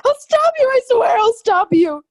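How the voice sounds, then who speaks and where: A woman's voice: very high voice, sing-song, normal speed, full character voice. A woman playing a cornered, desperate wife with a secret past in a radio crime drama, pleading and defiant. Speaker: actor. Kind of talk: old-time radio crime drama acting.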